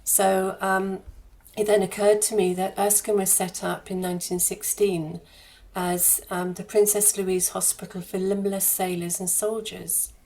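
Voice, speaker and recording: A woman's voice: medium pitch, flat delivery, unhurried, a little mellow, rough recording. A woman giving conversational interview answers as a charity guest, phrasing her thoughts in a considered, hesitant way with repeated words.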